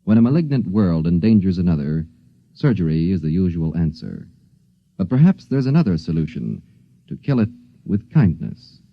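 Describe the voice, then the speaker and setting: A male radio announcer reading the closing credits in a measured, formal style. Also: low-pitched, very animated, dry recording. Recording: dry lo-fi 1950s radio transcription.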